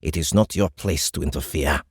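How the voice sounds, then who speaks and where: An actor playing a stern, sardonic senior male alien officer in an audiobook, with a gravelly rasp and a deliberate, cutting delivery. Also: extremely low pitch, no room sound, clean studio sound, full character voice. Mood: cold reprimand. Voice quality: gravelly, raspy. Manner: deliberate, cutting, drily mocking. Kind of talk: audiobook character acting.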